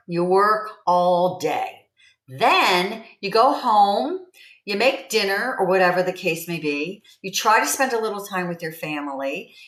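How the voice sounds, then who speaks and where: A woman's voice: mid-range voice, fairly bright tone. A woman in her seventies talking casually to livestream viewers in a chatty, rambling way, repeating key phrases. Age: 70s. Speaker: livestream host promoting online income. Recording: roomy, echoey sound.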